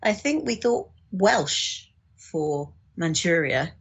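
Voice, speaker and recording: A woman's voice: lowish voice, animated, takes it slowly, slightly rough, muffled recording. A woman talking casually and explaining things as an audiobook producer in an interview. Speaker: audiobook producer, podcast guest.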